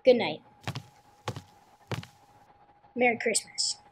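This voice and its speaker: A woman playing a humble, anxious male suspect in an amateur radio play, halting and apologetic in plain folksy speech. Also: fairly high pitch, slight background noise, close to an everyday voice. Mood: meek, anxious.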